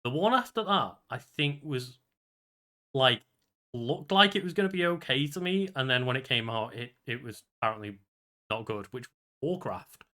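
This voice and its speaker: A man's voice: medium pitch, lively intonation, normal speed, sharp tone. A man talking casually as the co-host of a podcast, in loose conversational speech.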